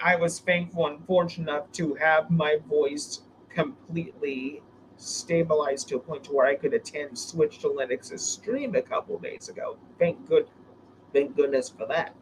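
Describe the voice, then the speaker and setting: A man hosts a relaxed live stream, his voice worn and hoarse from a recent illness. He sits fairly high in pitch and rambles, restarting his sentences often. A faint background hum sits under him. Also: measured pace, low-quality recording. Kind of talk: casual live stream chat.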